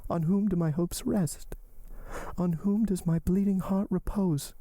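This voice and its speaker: A tearful young woman who pleads and implores, her voice lifted high with a soft, dark mellowness underneath, as a male audiobook reader puts her on. It is close and dry, with no room around it. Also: a little slow, no room sound. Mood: imploring. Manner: trembling, imploring delivery. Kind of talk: audiobook character voice.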